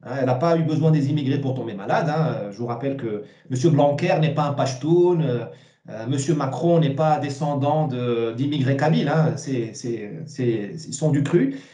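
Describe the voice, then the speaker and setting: Bright-voiced man in an echoey room. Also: mid-range voice, moderate pace. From Morocco. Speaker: interview guest, essayist. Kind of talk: opinionated interview answers.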